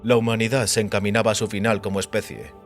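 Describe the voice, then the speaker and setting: An actor playing a calm, enigmatic male visitor who speaks with measured, solemn authority in an audio drama. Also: lowish voice, lightly acted. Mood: insistent, probing. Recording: music bed under the voice.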